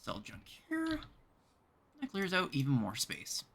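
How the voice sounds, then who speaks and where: Bright-voiced Canadian man streaming a game. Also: high voice, huge pitch swings, a little slow, vocal fry, slight background noise. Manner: thinking aloud, chatting to viewers. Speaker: game livestream host. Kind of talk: casual livestream chat while playing.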